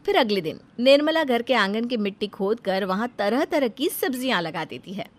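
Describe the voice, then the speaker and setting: A woman narrating a cartoon bedtime story in an even, storytelling delivery. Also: on the high side, moderate pace, a little hiss or hum.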